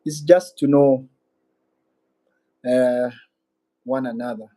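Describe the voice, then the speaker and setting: A man's voice: mid-range voice, steady tone, slow pace, warm tone. A man with a Ugandan accent hosting a casual live-stream Q&A, rambling and musing aloud as he reads out viewer comments. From Western Uganda.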